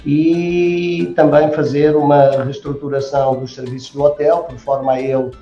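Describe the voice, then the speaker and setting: European Portuguese man about 60, flat mellow voice, hesitant, roomy and dull recording. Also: fairly high pitch, normal speed, soft background music. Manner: hesitant, many fillers and restarts. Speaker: resort general manager, guest. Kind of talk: interview answers.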